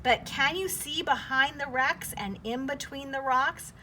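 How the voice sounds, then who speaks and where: A woman teacher reading a children's picture book aloud, expressive, with simple prompts aimed at young listeners. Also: high voice, measured pace, slight background noise, rough recording.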